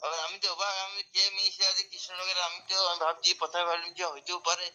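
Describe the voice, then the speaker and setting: Man, very bright sharp voice, slightly high, quick, puzzled questioning on a phone call. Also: little pitch movement. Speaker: phone call recipient, kirtan singer.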